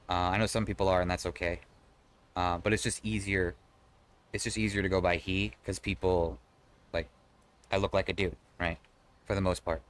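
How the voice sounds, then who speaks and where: A man live-streaming, chatting casually with his viewers and answering their messages in a loose, rambling way. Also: deep voice. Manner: conversational and rambling, frequent restarts, swears casually.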